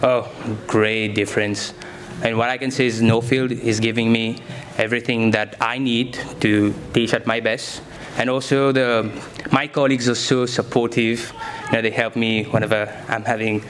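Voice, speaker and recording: A man's voice: mid-range voice, a bit bright, slight background noise. A young man, answering interview questions as a science teacher in simple, enthusiastic phrases.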